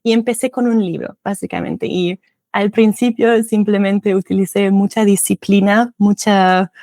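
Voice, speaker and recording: A woman's voice: medium pitch, a little slow, mellow, no room sound, studio quality. A German woman speaking with a German accent, a guest chatting casually in an interview, laughing often and correcting herself as she goes.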